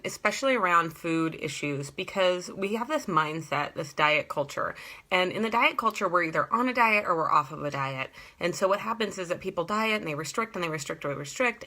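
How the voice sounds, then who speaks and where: A woman with a low-pitched yet bright, forward voice, slightly congested from a cold. She chats casually into her phone as a wellness coach on a live stream, rambling and scattered with little laughing asides.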